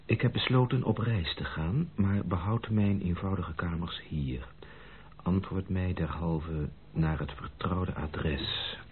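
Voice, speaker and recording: An actor playing a weary, melancholy gentleman who voices his own letter in a Dutch radio play, measured and formal. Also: low-pitched. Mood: subdued, formal. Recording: muffled narrow radio recording, faint noise.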